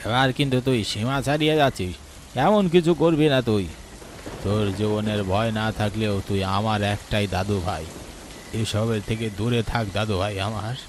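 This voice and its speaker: A worried old village grandfather from a horror cartoon, played by a man, speaks in a fairly low voice. He scolds and warns gravely, with clear rain noise around him. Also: steady tone, normal speed, close to an everyday voice. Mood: anxious warning. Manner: admonishing, grave storyteller. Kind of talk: Bengali horror cartoon dialogue.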